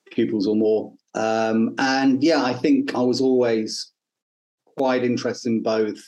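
A man's voice: on the low side, a little mellow, rough recording. A middle-aged man with an English accent giving thoughtful, hesitant answers as an academic interview guest. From north and Midlands of England, UK. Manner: hesitant, thoughtful, measured explaining. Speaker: guest, neuroscience professor.

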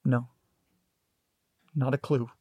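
A guarded, sarcastic man played by an actor in an audio drama, sounding detached from his troubles. His speech is dry, clipped and hesitant, at a middle pitch, recorded with very little room sound. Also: level tone, slow pace, breathy edge, dry recording, highs cut off. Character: guarded, sarcastic grandson detached from family trauma. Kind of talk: naturalistic audio drama acting.

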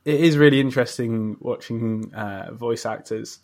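A man's voice: medium pitch, moderate pace, a little mellow. A man hosting a podcast interview in a casual, chatty style, agreeable and encouraging as he talks.

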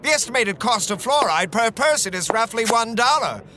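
An actor playing an eager, earnest newspaper reporter with pleading, excitable patter in a 1930s-style radio play. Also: male voice, extremely high pitch, moderate pace, exaggerated voice. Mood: urgent, earnest. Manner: pleading, excitable, old-time newsman patter.

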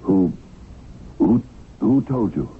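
Deep-voiced, hesitant swindler. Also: male voice, lively intonation, takes it slowly. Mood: uneasy, guilty. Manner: hesitant, stammering. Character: weak-willed, evasive male small-time swindler. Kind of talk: radio drama acting. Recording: tinny, noisy vintage radio broadcast.

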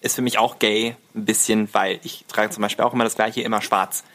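A man's voice: on the low side, level tone, brisk, a bit bright. A man speaking as a podcast guest in a casual, joking conversational style.

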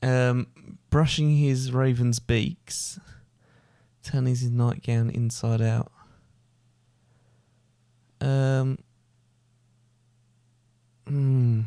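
Gravelly man thinking aloud. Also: mid-range voice, level tone, extremely slow, pauses often, dark tone, no room sound, clean studio sound. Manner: thinks aloud with hesitant ums. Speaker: podcast co-host taking the quiz. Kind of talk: casual chat.

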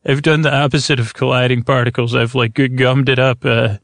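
A man with a rusty, gravelly voice hosts a sleep podcast, delivering a meandering, halting, comedic bedtime monologue that keeps interrupting itself. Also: mid-range voice, flat delivery, fairly bright tone, no echo. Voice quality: gravelly, rusty-sounding creak.

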